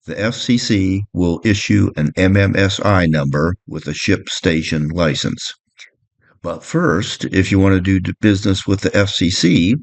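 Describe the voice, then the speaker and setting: A man's voice: deep voice, creaky, dry recording. A man giving a practical how-to narration in a plain, step-by-step way, like a boat owner explaining his gear. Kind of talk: practical how-to narration, partly read, partly off the cuff.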